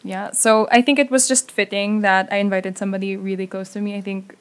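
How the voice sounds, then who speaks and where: A woman's voice: medium pitch, flat delivery, a little fast, clean tone. Young Filipina host, casual chatty delivery, recorded away from her usual setup. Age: young adult. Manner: chatty, laughs often, trails off softly. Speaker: podcast host. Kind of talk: casual podcast chat.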